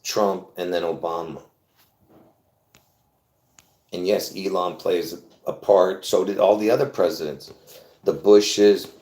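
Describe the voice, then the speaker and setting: A man's voice: low voice, darkish tone. A man hosting a casual solo livestream, talking in a rambling, chatty way with frequent laughs and restarts. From born in Lebanon. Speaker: livestream host. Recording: roomy echo.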